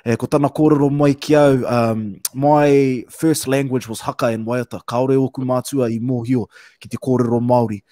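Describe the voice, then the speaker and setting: A New Zealand man hosting a panel discussion over a video call, speaking in a measured way with frequent fillers. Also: mid-range voice, steady tone, warm tone, no room sound, studio quality. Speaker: host. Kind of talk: panel discussion, mixing Māori and English. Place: home room, video call.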